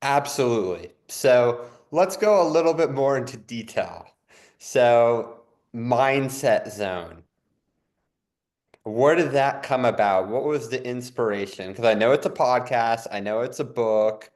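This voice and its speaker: A man hosting a podcast interview, upbeat and quick to chime in with short affirmations. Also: medium pitch, expressive, darkish tone. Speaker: podcast host.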